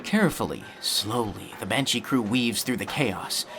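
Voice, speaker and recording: A man's voice: fairly low pitch, animated, normal speed, bright, forceful tone. A man reading scripted narration for a sci-fi audio drama, with some ambient noise behind him.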